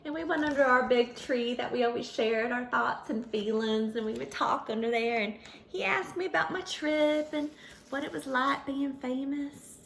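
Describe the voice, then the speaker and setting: A woman's voice: high voice, moderate pace, creaky, slight background noise. A woman voicing a sweet, folksy little female pony, telling her story dramatically and earnestly to children. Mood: relieved, earnest.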